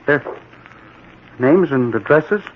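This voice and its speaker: A frightened young man in a radio horror play. His voice is fairly high, anxious and pleading. The old recording is a little muffled and hissy. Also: expressive, slow. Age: young adult. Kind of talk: old-time radio drama acting.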